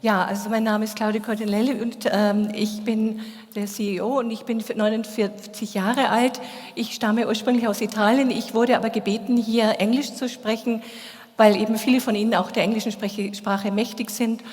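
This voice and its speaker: A woman's voice: a little high, little pitch movement, normal speed, darkish tone. A woman working as an interpreter, rendering a speech into German at a congress. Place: large hall. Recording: long hall reverb.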